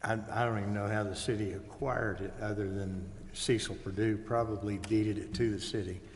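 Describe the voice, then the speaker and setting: A man's voice: fairly low pitch, steady tone, fairly quick. An American man giving a plain, informal explanation at a public meeting. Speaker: meeting participant giving background. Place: council chamber. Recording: echoey hall, faint noise.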